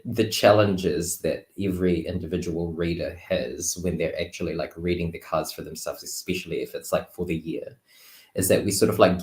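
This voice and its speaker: A man with a low voice speaks casually as a guest in a conversation. He thinks aloud in a reflective, loosely phrased way. The sound is roomy, with noticeable echo around his words. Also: a little mellow. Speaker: guest tarot reader. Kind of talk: casual chat.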